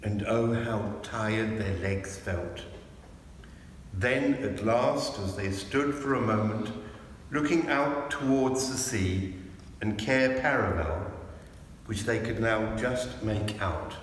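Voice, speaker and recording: A man's voice: fairly low pitch, slow. A man narrates a storybook aloud with expression. He takes his time, and his voice carries a heavy echo and long reverb inside a church, with faint noise behind. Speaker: clergyman reading a storybook aloud.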